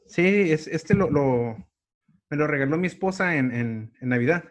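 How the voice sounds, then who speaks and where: Higher-pitched Mexican man, podcast host. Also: expressive, moderate pace. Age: 40s. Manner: informal, slangy, swears freely. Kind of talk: podcast introduction and casual interview chat.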